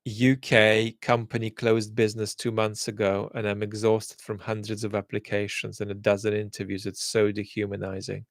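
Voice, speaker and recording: A man answering viewer questions in a casual chat, speaking in a thoughtful, measured way with frequent restarts. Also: fairly low pitch, completely flat delivery, creaky, dry recording, clean studio sound. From England.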